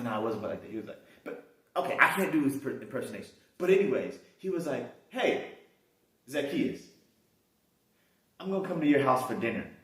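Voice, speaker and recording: An actor playing Zacchaeus, an excitable tax collector who talks to himself in amazement. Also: male voice, fairly high pitch. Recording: roomy echo from a distance.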